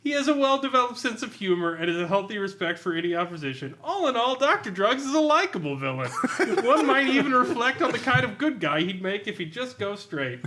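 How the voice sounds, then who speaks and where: A man's voice: sits high, sing-song, brisk, hardly any pauses, noisy background. A man hosting a podcast in a humorous, sardonic review style, reading aloud and commenting with amusement. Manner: amused, sardonic, often laughing while talking. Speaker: podcast host reviewing a tabletop game.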